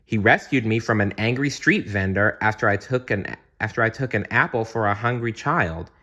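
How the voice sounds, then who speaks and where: A man voicing a kind, playful young princess in a gentle, earnest storytelling manner for a bedtime storybook. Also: fairly low pitch, normal speed. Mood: fond, earnest.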